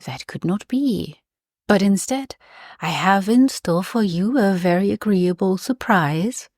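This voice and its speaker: A woman reading aloud, voicing an odd elderly godfather who speaks in a grating, monotonous tone. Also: medium pitch, measured pace, no room sound, studio quality. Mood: teasing.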